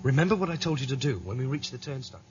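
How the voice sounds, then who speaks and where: A man's voice: medium pitch, sing-song, very fast, slight background noise, lightly acted. An actor playing an older man leading a break-in, explaining plans with hesitant restarts. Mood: tense. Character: older man leading an escape, calm planner. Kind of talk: radio drama acting. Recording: dull lo-fi radio drama with music.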